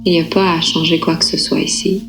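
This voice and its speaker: A woman yoga nidra instructor guiding a relaxation meditation in a calm, unhurried, soothing manner with gentle pauses. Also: low voice, soft background music, rough recording.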